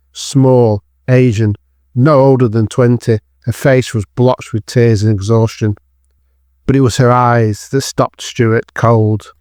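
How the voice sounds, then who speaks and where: A man's voice: mid-range voice, darkish tone, no echo, clean studio sound. A man narrating a scripted dramatic story as the narrator of an audio drama.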